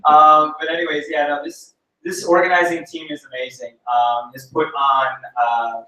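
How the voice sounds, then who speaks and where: A man's voice: fairly high pitch, fairly even intonation, moderate pace, bright, forceful tone. A young man emceeing an event, chatty and self-deprecating, laughing at his own slip-ups. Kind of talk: casual event emcee announcements. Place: large echoey event space. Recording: echoey hall, voice from far off.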